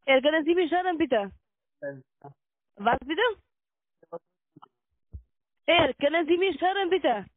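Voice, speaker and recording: Insistent high-voiced woman on a phone line. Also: expressive, no room sound. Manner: insistent, repetitive, halting non-native phrasing. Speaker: call centre sales agent. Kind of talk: scripted sales call. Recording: narrow tinny phone line.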